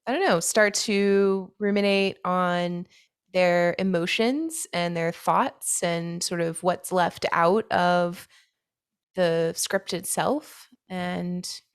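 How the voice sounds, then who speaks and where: A woman's voice: fairly low pitch, steady tone, slow pace, clean tone. A woman co-hosting a casual interview, agreeing and encouraging as she talks with her guest. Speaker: podcast co-host interviewing a guest.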